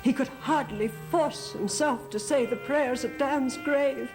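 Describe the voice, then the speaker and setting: A high-pitched, slow-speaking woman in a gothic soap opera. She is distraught and guilt-ridden, pleading in halting, dramatic phrases. Loud music plays behind her. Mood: anguished.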